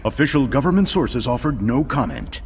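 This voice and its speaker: An actor playing a glib, pompous propaganda news anchor in a video game, with an American accent and slick, bombastic delivery. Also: male voice, medium pitch, very animated, fairly quick. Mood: smug, showy.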